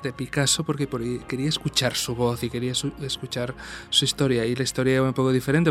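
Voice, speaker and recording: Man, Spain accent, mid pitch, fairly even intonation, halting rambling commentary, faint music. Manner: halting, rambling, repeats words. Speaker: show co-host and commentator. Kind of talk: casual discussion.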